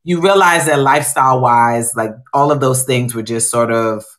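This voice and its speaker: Guest: woman chef, late 40s, from Guyana, candid storyteller on a video call at home. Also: extremely low pitch. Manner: candid, storytelling, drawn-out emphasis. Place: home room.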